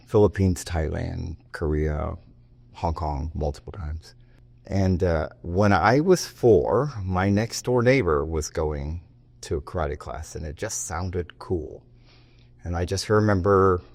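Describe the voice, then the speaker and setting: A man in his fifties giving relaxed interview answers, rambling a little and often restarting his sentences. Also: deep voice, no echo. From United States, raised in Japan. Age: 50s. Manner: rambling with frequent restarts and self-interrupting asides. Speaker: martial arts teacher and guest.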